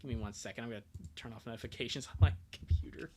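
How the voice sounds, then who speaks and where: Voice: mid-range voice, racing, very noisy. A streamer chatting casually during a live reading, joking and often breaking off mid-thought. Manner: joking, self-interrupting, giggly. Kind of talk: casual livestream chat.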